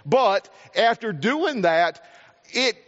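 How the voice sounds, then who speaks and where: A man's voice: high-pitched, very animated, takes it slowly. A man with a South Carolina accent, preaching a sermon and telling a personal story in a folksy, earnest way. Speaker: pastor. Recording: reverberant hall, dull lo-fi sound.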